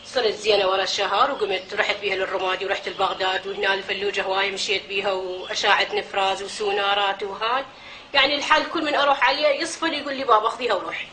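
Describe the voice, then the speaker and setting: Iraqi Arabic woman, interviewee, very fast and bright-toned, faint background hiss. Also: medium pitch, fairly even intonation, poor audio. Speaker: interviewee, mother. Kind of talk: recorded interview testimony.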